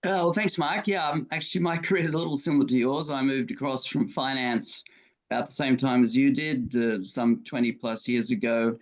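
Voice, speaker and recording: A man introduces himself on an online panel. His manner is relaxed, and he uses a few fillers. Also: medium pitch, fairly quick. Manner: casual, with fillers. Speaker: panelist, development finance advisor. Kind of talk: self-introduction.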